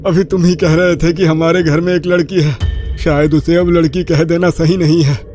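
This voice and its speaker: A man's voice: on the high side, little pitch movement, normal speed, darkish tone, faint music behind, pitched above the performer's own voice, lightly acted. A man voicing an anxious middle-aged parent, frantic over a child in a horror cartoon story. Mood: worried, determined.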